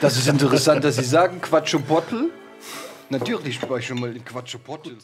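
A man's voice: medium pitch, lively intonation, fairly quick, over music, close to an everyday voice. A man playing a haughty, gloomy French surgeon with a pompous, formal manner.

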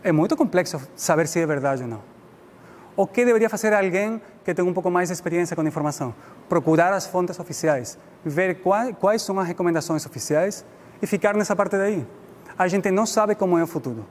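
A man's voice: high voice, fairly quick. A middle-aged man from Spain with a Spanish accent, giving an informal, explanatory public lecture in a language that is not his native one. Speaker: guest lecturer. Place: indoor lecture room. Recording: reverberant hall with background noise.

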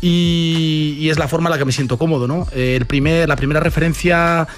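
Un hombre de Madrid, rapero invitado, que habla relajado y coloquial, con muletillas. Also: male voice, a little high, a little fast, faint music behind. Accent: Madrid Spanish. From Spain. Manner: relaxed, slangy, many fillers like "¿no?" and "tío". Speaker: rapper guest. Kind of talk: casual interview answers.

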